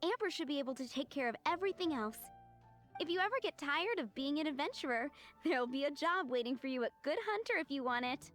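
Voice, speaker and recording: A woman's voice: high voice, lively intonation, fast talker, a bit bright, soft background music. An actress playing a friendly, encouraging young woman who runs a restaurant counter in an anime video game. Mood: delighted, encouraging. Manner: encouraging, effusive.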